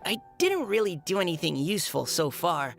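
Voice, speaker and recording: A woman's voice: on the low side, very animated, moderate pace, vocal fry, faint music behind. A woman voicing a shy, modest young boy knight in a video game, polite and hesitant with a stammer.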